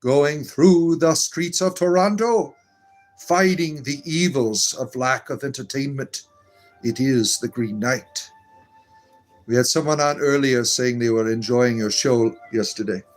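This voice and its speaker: Guy on a live stream chatting through viewer comments, mid-pitched voice, rambly, faint music underneath. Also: measured pace. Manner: reads comments aloud and answers them in a meandering, chatty way. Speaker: livestream host reading viewer comments. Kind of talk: casual live-stream chat.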